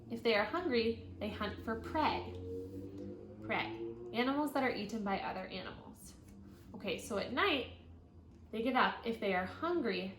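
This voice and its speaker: A woman teacher reading a children's nonfiction book aloud, thinking out loud and guiding young listeners as she goes. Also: medium pitch. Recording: roomy echo, audible background noise.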